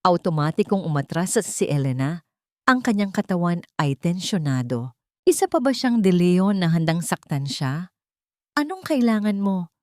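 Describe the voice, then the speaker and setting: A woman's voice: lowish voice, expressive, normal speed, a little mellow, no room sound, studio quality. A woman reading a scripted story as a dramatic narrator.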